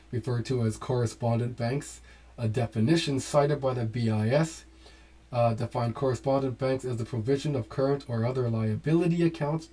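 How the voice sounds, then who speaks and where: Mellow-voiced man reading and commenting. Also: mid-range voice. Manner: reads text aloud and breaks off with asides to viewers; animated, urging exclamations. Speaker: host. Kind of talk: casual commentary while reading documents aloud.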